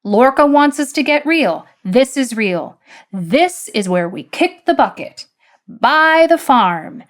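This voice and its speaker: A woman's voice: fairly low pitch, expressive, unhurried. A woman doing a sarcastic cocky young man. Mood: taunting.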